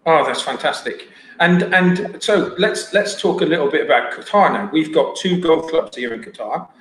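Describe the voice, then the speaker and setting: Roomy-sounding male interviewer, fairly high voice. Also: lively intonation, moderate pace, fairly bright tone. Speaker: podcast host. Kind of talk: casual interview questioning. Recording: roomy echo, faint background noise.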